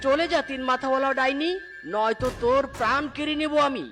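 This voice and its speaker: A proud merman prince from a cartoon tale, played by a man. His voice is high for a man, haughty and accusing, over clear music. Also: a little fast. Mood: angry. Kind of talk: dramatic cartoon fairy-tale dialogue.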